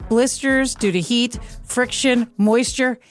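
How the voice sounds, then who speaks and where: Higher-pitched woman on morning radio. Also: steady tone, slow, faint music behind. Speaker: radio morning show co-host. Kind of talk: casual radio banter. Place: radio studio.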